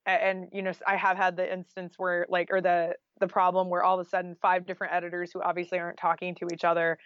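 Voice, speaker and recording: A woman's voice: lowish voice, monotone, quick pace, dry recording. A woman chats as an interview guest in a casual, anecdotal way, sprinkling in conversational fillers. The recording is dry and close, with a slightly dull, lo-fi sound. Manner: chatty, anecdotal, with frequent "like" and "you know". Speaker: podcast guest, freelance journalist and author.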